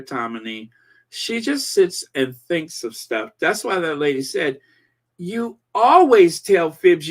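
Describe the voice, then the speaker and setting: Slow, rambling man hosting a channel. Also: on the high side, a bit bright. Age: 40s. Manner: rambling, slow, lots of fillers and pauses. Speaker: channel host. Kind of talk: casual commentary reading viewer comments.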